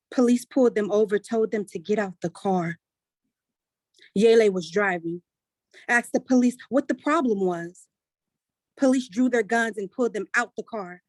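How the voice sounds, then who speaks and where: A woman's voice: medium pitch, no room sound, close to an everyday voice. Anxious sister in a play, acted by a woman. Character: anxious, distraught younger sister relaying bad news. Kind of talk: staged reading of a play.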